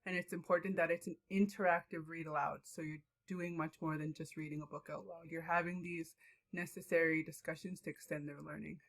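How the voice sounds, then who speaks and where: Lower-pitched woman presenting informally. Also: fairly even intonation, brisk. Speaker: student teacher presenting.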